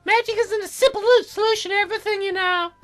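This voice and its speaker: A man's voice: very high-pitched, fairly even intonation, fast talker, a bit bright, soft background music, voice pushed up. A man voicing a perky, cheeky teenage cloud fairy girl with a sassy, casual, boastful way of talking, for a video game. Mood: matter-of-fact.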